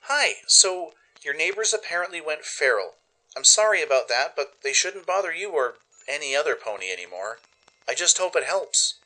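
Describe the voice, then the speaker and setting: A man's voice: fairly high pitch, very animated, normal speed, sharp tone, voice pushed up, heavily acted, rough recording. A man voicing an earnest, nervous young pony filly with a hesitant delivery in a radio play. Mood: apologetic.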